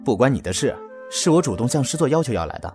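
An actor playing a proud, stubborn young army battalion commander in an audio drama, brusque and scoffing but fond underneath. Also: male voice, medium pitch, very animated, fast, natural voice. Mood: gruff, teasing. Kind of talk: Chinese audio drama acting. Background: background music.

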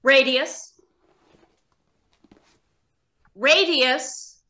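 High, bright, obliging doctor played by a woman, echoey. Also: drawn-out words, exaggerated voice. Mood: commanding. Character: obliging, businesslike robot scientist. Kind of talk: old-time radio drama acting. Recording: echoey room on video call.

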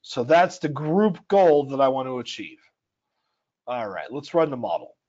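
A man leads a screen-share software tutorial in a relaxed, explanatory way, with plenty of "uh"s and "okay?"s along the way. His voice is dry and a touch scratchy, and it sits at an ordinary middle pitch. The sound is a little dull, like webinar audio. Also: a little slow. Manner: explanatory, conversational, with frequent fillers. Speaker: software trainer. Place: home or office at altitude.

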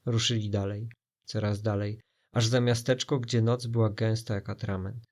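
A man's voice: on the low side, flat delivery, normal speed, a little mellow. A man narrating a scripted children's story as a storyteller, in a dramatic style.

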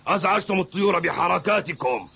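A man's voice: high voice, animated, fast, vocal fry, pushed and bright, a little hiss or hum, exaggerated voice. An actor voicing an eccentric old male kung fu master who gives cryptic orders in a cartoon dub. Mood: instructing. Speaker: kung fu coach.

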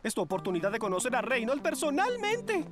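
A woman's voice: high voice, sing-song, brisk. A woman voicing a gushing, theatrical young male modeling agent in a children's cartoon, with a Latin American accent. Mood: gushing excitement. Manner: effusive, theatrical. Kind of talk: dubbed children's cartoon acting. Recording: light music behind the voice.

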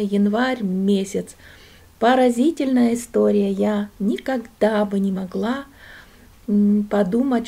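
A middle-aged woman from Russia, chatting as a vlogger while she walks through shops, often speaking softly, almost in a whisper. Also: on the high side. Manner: soft, often near-whispered commentary while browsing. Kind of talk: chatty walking vlog narration.

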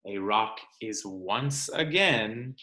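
A man reads a picture book aloud for children at an unhurried pace. His delivery is expressive and teacherly, with pitch that moves up and down a lot. The sound is roomy, like a webcam in a home. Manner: expressive, deliberate, teacherly with questions to listeners. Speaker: children's read-aloud presenter. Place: indoor room at home.

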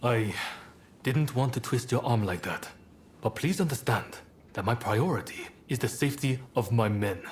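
A man playing a composed, courteous samurai rebel leader in a video game, with formal, measured phrasing. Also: mid-range voice, animated, a little hiss or hum, lightly acted. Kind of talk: dramatic video game voice acting.

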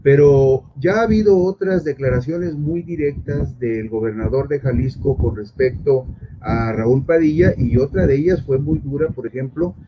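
Mexican man on a lo-fi video call. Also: medium pitch. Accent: Mexican Spanish. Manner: measured, emphatic, explanatory. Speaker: journalist guest. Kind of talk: political commentary. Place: home study with bookshelves. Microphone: video call webcam. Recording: dull lo-fi video call with clear noise.

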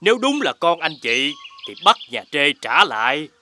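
A man voicing a helpful, neighborly animal father in an animated fairy tale. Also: on the high side, moderate pace, full character voice. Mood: helpful, concerned.

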